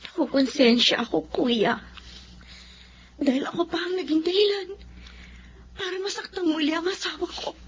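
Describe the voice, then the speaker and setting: A woman's voice: very high voice, moderate pace, pauses often. A woman with a Filipino accent acting in a radio drama as an ambitious, emotional wife and mother with a pointed manner. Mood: guilty. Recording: muffled audio with faint background noise.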